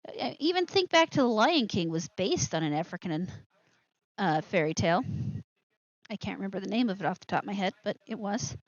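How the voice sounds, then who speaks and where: A woman's voice: medium pitch, lively intonation, brisk, dry recording, dull audio. A woman hosting a live show with casual commentary, rambling and interrupting herself with asides.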